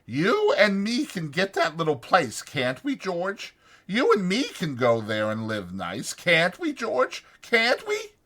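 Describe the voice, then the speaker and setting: A man voicing an anxious, grieving old ranch hand in an audiobook, with folksy rural speech and a whining, drawn-out delivery. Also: sits high, wildly swooping pitch, fairly bright tone, voice pushed up, exaggerated voice. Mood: anxious pleading. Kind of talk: dramatized audiobook character voice.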